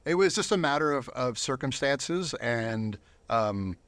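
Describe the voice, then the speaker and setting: A man's voice: mid-range voice, expressive, a little slow, fairly bright tone, dry recording. A man speaking as a guest in a casual studio interview, earnest and reflective, sometimes repeating words as he goes. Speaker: interview guest, volunteer Bible reader.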